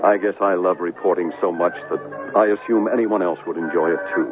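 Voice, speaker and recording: An actor playing a smooth, boastful, flirtatious New York television reporter in a 1970s radio drama, with a suave, condescending manner. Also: male voice, sits low, steady tone, fairly quick, close to an everyday voice. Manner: suave, self-satisfied, condescending. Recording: tinny vintage radio broadcast, music.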